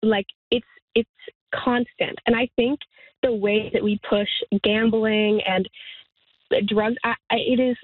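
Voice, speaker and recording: A woman of twenty talking earnestly in a radio interview, halting and restarting, with light laughs. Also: on the high side, steady tone, dry recording. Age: 20s. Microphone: phone. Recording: tinny phone line, dry.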